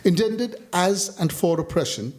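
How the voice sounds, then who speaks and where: A man from India reads his own essay in a measured, rhythmic delivery, with a fairly high voice that rises and falls expressively. He pauses deliberately between short, pointed phrases. This is a writer performing a reading at a public talk. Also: expressive, darkish tone. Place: stage of a public talk venue.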